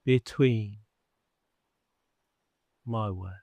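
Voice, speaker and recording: A man guiding a sleep hypnosis session in a soothing, measured manner with long pauses between phrases. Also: lowish voice, warm tone, dry recording. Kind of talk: guided sleep hypnosis narration.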